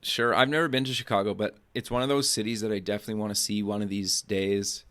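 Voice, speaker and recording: A man's voice: lowish voice, fairly even intonation, fast, fairly bright tone. A man hosting an interview show, conversational and inquisitive, with loosely hedged phrasing. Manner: hedging, inquisitive, says "kinda" often. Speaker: podcast and radio show host.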